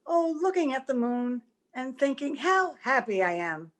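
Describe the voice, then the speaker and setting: A woman's voice: high voice, big pitch swings, a little slow. A woman playing a romantic, naive young bride, happy yet anxious, in an old-time radio drama. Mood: elated, dreamy.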